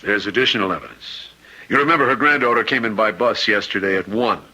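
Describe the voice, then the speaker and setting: An actor playing an earnest, compassionate hospital chaplain with a grave manner in an old-time radio drama. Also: male voice, fairly low pitch, fast, bright, forceful tone, heavily acted, poor audio.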